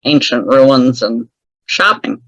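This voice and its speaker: A woman's voice: very deep voice, takes it slowly, fairly bright tone, no echo, dull audio. A woman telling a personal story as an interview guest, chatty and wry, laughing often at her own remarks. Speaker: guest author.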